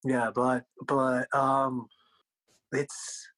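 A man's voice: medium pitch, completely flat delivery, extremely slow, fairly bright tone, poor audio. A man whose first language is Korean, a guest speaking loosely and jokingly, full of "like" and "you know". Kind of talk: casual interview chat.

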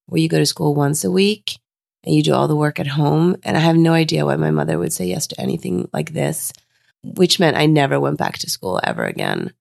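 A woman's voice: sits low, very animated, brisk, gravelly, a little mellow, dry recording, clean studio sound. A woman from Sweden, a podcast guest, telling her life story candidly while a bit under the weather. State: unwell, lower voice. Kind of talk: casual interview conversation.

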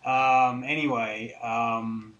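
A middle-aged man from Denmark, talking conversationally and haltingly as an interview guest. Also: medium pitch, fairly even intonation, a bit bright, lo-fi sound. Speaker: interview guest, tobacco businessman. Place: studio, live interview. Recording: clear background noise.

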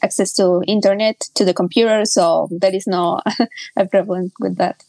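A Colombian woman in her twenties speaks English carefully, pausing on fillers. Her voice sits in a middle pitch. It sounds dry and close over a video call. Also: steady tone, vocal fry, darkish tone, no room sound. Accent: Colombian Spanish. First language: Spanish. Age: 20s. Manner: careful, unhurried, frequent fillers. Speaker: closing coordinator.

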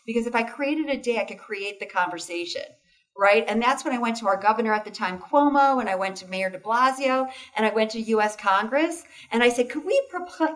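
A woman's voice: on the high side, far from the mic. A woman being interviewed as a foundation founder, talking enthusiastically and often tagging sentences with "right?" and "you know". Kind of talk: interview conversation.

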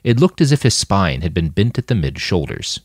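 Male fiction narrator, very low voice, highly animated delivery, dry recording. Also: moderate pace, slightly rough, no echo. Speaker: audiobook narrator.

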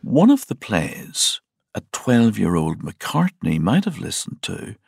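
A man hosting a podcast, narrating a scripted story in a deliberate, explanatory manner. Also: on the low side, huge pitch swings, vocal fry, a little mellow, breathy edge, no echo, studio quality. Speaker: podcast host.

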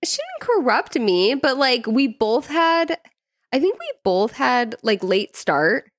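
A woman's voice: high voice, big pitch swings, takes it slowly, dry recording. Woman chatting casually, close and dry. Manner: informal, peppers speech with "like". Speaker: podcast co-host. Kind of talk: casual chat, personal storytelling.

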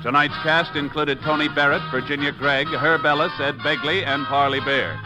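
A man's voice: on the high side, fairly even intonation, normal speed, low-quality recording. A man announcing a 1950s radio show in a formal scripted style. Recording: 1950s radio broadcast over loud music. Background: loud music.

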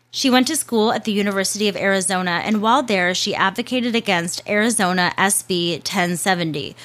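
A woman's voice: medium pitch, a bit bright. A woman hosting a show, reading a scripted narration in a casual way with chatty asides and the odd swear word.

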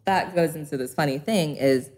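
Low-voiced chatty young woman, American accent. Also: moderate pace, darkish tone. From Hong Kong. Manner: chatty, laughs often, many fillers like "like" and "um". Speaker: interview guest, pole dance instructor. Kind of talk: casual interview conversation.

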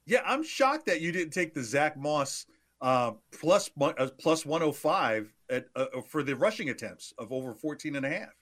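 A man's voice: a little high, very animated, moderate pace, fairly bright tone. A man co-hosting a sports betting podcast, joking and bantering playfully in casual chat.

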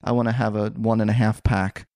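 A man's voice: fairly low pitch, little pitch movement, brisk, a little mellow, no echo, clean studio sound. A man hosting a podcast who talks casually about his own struggles, jokes around, and fills his speech with "you know.". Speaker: podcast host. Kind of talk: casual self-disclosing chat.

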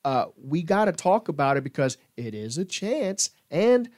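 A man's voice: fairly high pitch, sing-song, moderate pace, a little mellow. A man co-hosting a sports podcast in casual, informal and optimistic fan talk.

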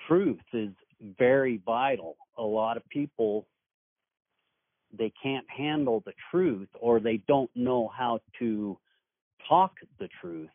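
A man hosting a podcast speaks slowly and deliberately as he sets up his questions. His tone is measured and earnest. The sound is dry and thin, like a phone call. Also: mid-range voice, lively intonation, no room sound. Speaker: podcast host. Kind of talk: interview questions.

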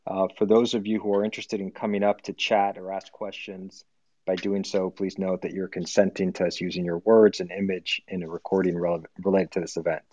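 A man's voice: deep voice, little pitch movement, brisk, warm tone, dry recording. A man hosting an interview, asking long, friendly questions with hesitations. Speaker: host, interviewer. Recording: dull lo-fi audio-room stream.

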